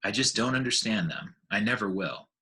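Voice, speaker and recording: A man's voice: lowish voice, expressive, moderate pace, sharp tone. A man voicing a blunt male animal who distrusts humans, doubtful and challenging, in a storybook reading. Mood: baffled, distrustful.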